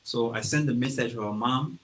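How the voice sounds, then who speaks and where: A man from Guinea with a French accent, speaking slowly and carefully as an author presenting his book to a library audience, with hesitations and acted-out quotes. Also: medium pitch, fairly bright tone, slight background noise. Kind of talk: informal talk to an audience. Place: library event room.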